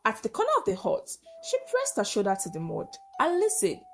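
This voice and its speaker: A woman narrating a folktale as a storyteller, with earnest, expressive and dramatic delivery. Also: a little high, brisk, slight background noise.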